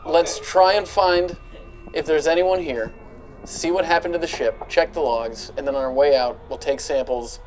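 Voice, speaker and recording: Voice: mid-range voice, normal speed, darkish tone, a little hiss or hum, low-quality recording. A tabletop roleplaying player chatting casually in character, practical and giving directions to the group. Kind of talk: casual in-character game chat.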